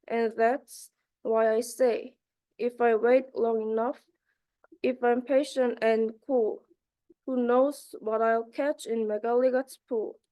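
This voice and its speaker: A young woman from South Korea, a university student, reading a rhyming children's book aloud in a careful, halting way. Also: on the high side, warm tone. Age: young adult.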